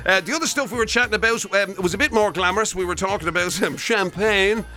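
A man's voice: sits high, fast talker, pushed and bright, soft background music. An Irish man chatting and teasing casually as a radio co-host.

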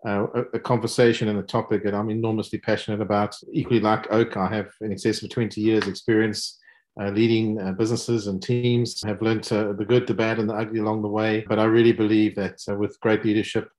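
South african guy, talks fast, lowish voice, upbeat and polished corporate vibe. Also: flat delivery, darkish tone. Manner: enthusiastic, polished corporate phrasing. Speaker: guest, business leader. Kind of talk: podcast interview.

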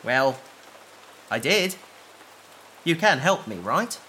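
Man playing an earnest, bright-voiced space researcher. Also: a little high, sing-song, slow pace, slight background noise, heavily acted. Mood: earnest, hopeful. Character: earnest, naive human male space researcher. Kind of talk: sci-fi audio drama acting.

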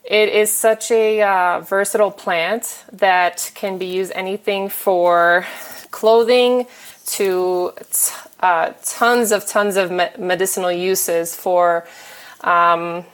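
A woman chatting frankly and casually as a guest, pausing often with fillers and restarts. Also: on the low side. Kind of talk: casual chat.

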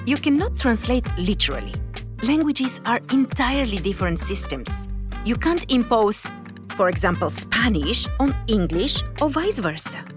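A woman whose first language is Kazakh, giving a scripted podcast narration as a language researcher in a measured, explanatory read with rhetorical questions. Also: a little high, big pitch swings, music in the background.

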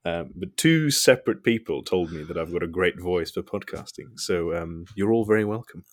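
A very deep-voiced man co-hosting a podcast. He speaks in a relaxed, casual way with a dry, teasing edge to his remarks. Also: normal speed. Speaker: podcast co-host. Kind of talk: casual chat.

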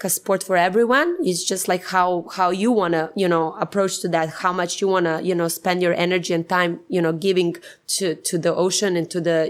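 Rambling woman. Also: medium pitch. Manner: rambling with many fillers and restarts. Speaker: film producer. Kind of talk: casual interview.

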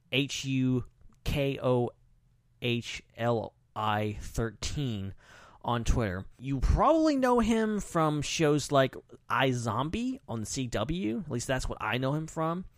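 A man chats as a podcast co-host in an informal, loose way, his sentences broken up with plenty of "like". His voice sits at a middle pitch and is recorded close and dry. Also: lively intonation, slow pace, no room sound. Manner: informal, talks in loose fragments peppered with "like". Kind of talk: casual pop-culture podcast chat.

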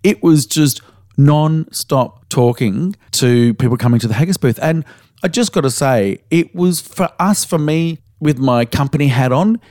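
An Australian man co-hosting a podcast, slightly hoarse as he recovers his voice, telling stories in a frank, casual way with the odd swear word. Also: on the high side, lively intonation, measured pace, darkish tone, no room sound, clean studio sound. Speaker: podcast co-host.

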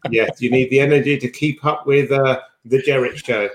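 A man co-hosting a podcast in casual, somewhat halting chat, recorded in an echoey room. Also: mid-range voice, steady tone, noisy background. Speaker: podcast co-host.

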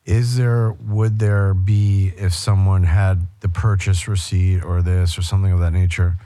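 A man's voice: low-pitched, level tone, unhurried, warm tone, no echo. A male host asking rambling, slangy questions in a laid-back casual interview.

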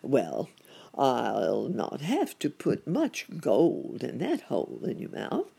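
A storyteller voicing a kindly male bear dentist from a storybook, calm and reassuring in manner. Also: medium pitch, slow, creaky, husky, exaggerated voice.

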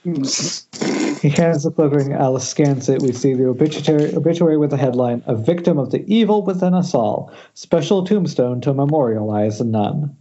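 Dark-voiced man reading stage directions. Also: on the high side, normal speed. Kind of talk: script narration at amateur table read. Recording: muffled internet call, faint noise.

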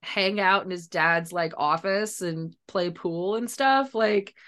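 A woman's voice: fairly low pitch, slow pace, a bit bright. Chatty woman author in casual conversation. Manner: chatty, hedging, frequent "like" and "kinda". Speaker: guest author.

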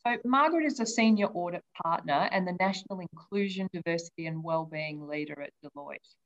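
A woman's voice: on the low side, a little fast. A woman hosting a leadership podcast, reading her intro and asking earnest, enthusiastic questions. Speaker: podcast host and interviewer. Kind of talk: scripted intro then interview questions. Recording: dull, lo-fi sound.

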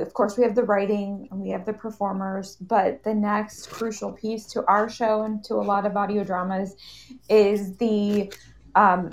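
A woman hosting a live panel discussion in a conversational style. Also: mid-range voice. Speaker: panel host.

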